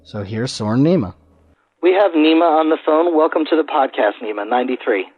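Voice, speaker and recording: A man hosting a podcast interview in a casual, measured and slightly hesitant way. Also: a little high, animated, husky, no echo, muffled recording.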